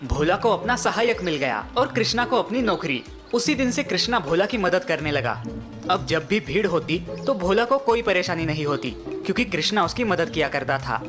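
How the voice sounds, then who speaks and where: A woman's voice: on the low side, sing-song, normal speed, music in the background. A woman giving scripted narration of a children's moral story.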